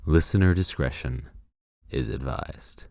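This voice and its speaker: A male announcer delivers a scripted advisory. His voice is extremely low and gravelly, recorded very dry and close. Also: a little slow, a little mellow, no echo. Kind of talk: scripted content warning.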